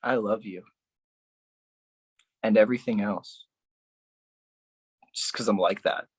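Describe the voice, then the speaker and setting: Slow, theatrical solo monologue performer. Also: steady tone, husky, dry recording. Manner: theatrical, intense, swinging between low musing and raised outbursts. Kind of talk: dramatic spoken-word monologue performance.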